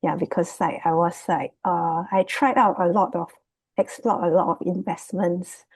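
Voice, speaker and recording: A Singaporean woman with a husky, fairly low voice gives an informal presentation. The sound is dry and close, and her delivery is hesitant and loosely phrased. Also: fairly even intonation, normal speed, darkish tone, dry recording. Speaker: guest, property investment student. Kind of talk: casual unscripted presentation. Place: remote video call.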